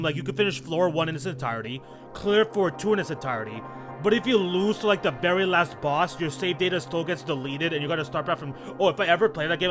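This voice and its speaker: Stammering, slangy male streamer, fairly high voice. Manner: stammering, repeating himself, slangy. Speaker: game streamer. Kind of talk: casual livestream commentary, reading game dialogue aloud.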